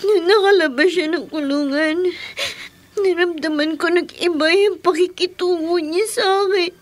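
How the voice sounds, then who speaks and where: An actress playing a tearful, wounded young daughter in a Filipino radio drama, pleading and sobbing with a Filipino accent. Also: female voice, very high-pitched, normal speed. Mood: hurt, weeping. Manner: anguished, pleading, sobbing delivery.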